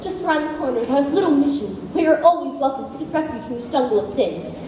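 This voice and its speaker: High-voiced zealous mission preacher played by a girlish performer. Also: animated, quick pace, far from the mic. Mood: preaching, fervent. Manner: declamatory, exhorting. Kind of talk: school musical stage acting. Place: school stage, recorded from the audience. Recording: echoey noisy school auditorium from afar.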